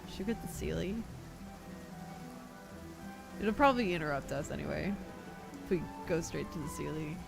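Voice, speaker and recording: A woman's voice: deep voice, vocal fry. A young woman chatting casually and reactively while streaming a game, rambling along in a giggly way. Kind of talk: casual live gameplay chat. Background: game music.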